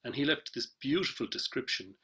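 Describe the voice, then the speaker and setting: A man's voice: mid-range voice, lively intonation, brisk, bright, forceful tone, a little hiss or hum. A man speaking casually as a panellist on a webinar, hesitant, with many fillers and restarts. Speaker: panellist, traditional musician. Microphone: video call.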